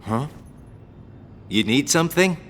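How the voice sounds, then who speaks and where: Game role: wry, caring best friend, casual and pointed, slow, faint noise behind. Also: male voice, medium pitch, expressive, a bit bright, big-room sound. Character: laid-back young man, wry, caring best friend. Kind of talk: video game cutscene voice acting.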